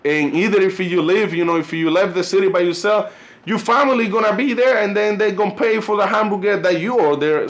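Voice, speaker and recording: Young Colombian man with a higher voice. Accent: Colombian Spanish. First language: Spanish. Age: 20s. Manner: rambling, filler-heavy, says "you know" and "fellas" a lot. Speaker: YouTube channel host. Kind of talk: casual vlog commentary.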